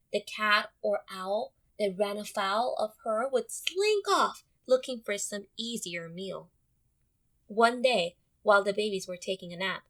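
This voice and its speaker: Bright-voiced woman teaching through a read-aloud. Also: medium pitch. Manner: instructive, addresses the listeners directly. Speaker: teacher reading aloud to young students. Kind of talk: interactive storybook read-aloud and lesson.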